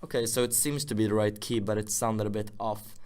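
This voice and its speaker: A man presenting an informal music software tutorial, casually thinking aloud as he works. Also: lowish voice, little pitch movement, a little fast, clean tone.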